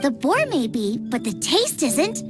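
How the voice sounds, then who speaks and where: Very high, bubbly girl chef. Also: big pitch swings, a little slow, over music. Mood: upbeat, friendly. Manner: bubbly, earnest, exclamatory. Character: cheerful young girl chef, passionate and encouraging. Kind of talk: anime-style video game voice acting.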